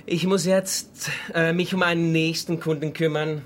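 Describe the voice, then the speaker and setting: A man's voice: fairly high pitch, flat delivery, normal speed, a little hiss or hum, close to an everyday voice. An actor playing a nervous, evasive male salesman in a radio play, stammering and agitated, turning defiant.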